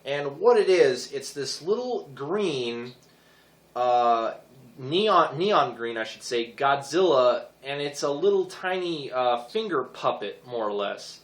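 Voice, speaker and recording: A hoarse young man rambling casually with many fillers as he reviews his toy figures. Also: mid-range voice, animated, takes it slowly, roomy sound.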